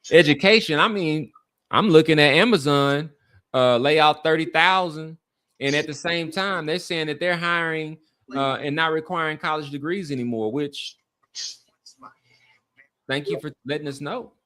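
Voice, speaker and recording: A middle-aged American man hosting a casual sports discussion, speaking haltingly and repeating words for emphasis. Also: on the high side, a bit bright. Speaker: sports podcast host.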